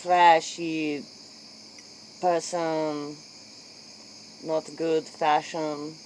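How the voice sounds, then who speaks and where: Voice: medium pitch, little pitch movement, extremely slow. Interviewer over faint noise and chirping crickets. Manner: echoes and paraphrases the guest's answers. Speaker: late-night interviewer. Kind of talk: improvised mock interview.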